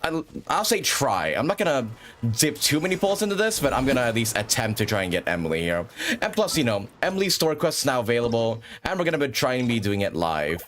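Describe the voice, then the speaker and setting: A man's voice: mid-range voice, sing-song, quick pace, a bit bright, slight background noise. A man hosting a livestream with casual, rambling gaming commentary and frequent chatty asides. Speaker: livestream host.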